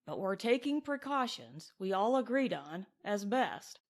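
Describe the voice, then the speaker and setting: A woman's voice: medium pitch, sing-song, measured pace. A woman voicing a blunt, commanding cowboy foreman with curt, plain speech in an audiobook western. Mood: blunt, guarded.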